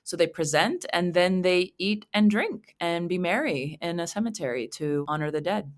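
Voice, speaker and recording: A middle-aged woman from Canada talks with a very low voice for a woman. She chats loosely, with plenty of fillers and restarts. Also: expressive, normal speed. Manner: chatty, many fillers and restarts. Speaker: guest consultant. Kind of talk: casual interview chat. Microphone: remote call.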